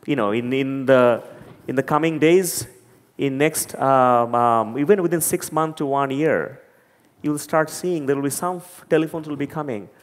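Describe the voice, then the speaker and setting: A man from Bangladesh with a Bangladeshi accent, giving an earnest, emphatic talk to an audience and often repeating words as he searches for them. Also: a little high. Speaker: conference speaker. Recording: long hall reverb.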